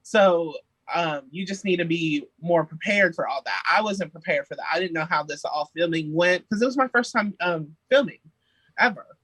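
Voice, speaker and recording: A man's voice: on the high side, moderate pace, a bit bright, breathy edge, dry recording, low-quality recording. A young man, a singer, chatting playfully as a podcast guest and laughing often. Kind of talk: casual interview chat.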